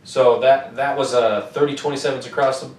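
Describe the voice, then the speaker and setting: A man's voice: medium pitch, fairly even intonation, fairly quick. Brief: young American man, casual podcast co-host, swears freely, distant mic in a roomy space. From United States. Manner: loose, profane, joking. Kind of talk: casual sports podcast chat.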